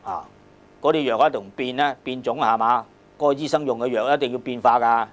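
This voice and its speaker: A man's voice: mid-range voice, fairly even intonation, brisk. Emphatic Hong Kong Cantonese male lecturer. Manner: colloquial, emphatic, repeats phrases and checks with rhetorical questions. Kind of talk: explanatory classroom lecture on a classical text.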